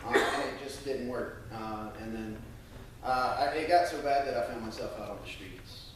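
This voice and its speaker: An American man speaking earnestly and a little haltingly to a congregation, as a church member sharing testimony and scripture. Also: mid-range voice. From United States. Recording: very echoey room, distant mic, faint noise.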